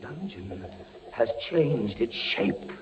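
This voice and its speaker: An actor playing a despairing, feverish captive French captain in old-time radio horror, with a theatrical, anguished, halting delivery. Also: male voice, medium pitch, animated. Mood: hushed horror. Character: tormented male prisoner, a French captain facing torture, despairing and feverish. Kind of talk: old-time radio horror acting and first-person narration. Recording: muffled, noisy old radio drama recording.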